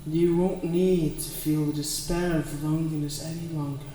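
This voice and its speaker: A man's voice: a little high, flat delivery, moderate pace, mellow, heavy reverb, close to an everyday voice. Casting: man voicing a genteel, covetous demon for ASMR roleplay; ornate diction, distant from the mic, noisy ambient recording. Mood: seductive, possessive. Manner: formal, genteel, ornate phrasing. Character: suave, courtly male demon coveting a lonely person's heart. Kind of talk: ASMR roleplay, scripted dramatic monologue.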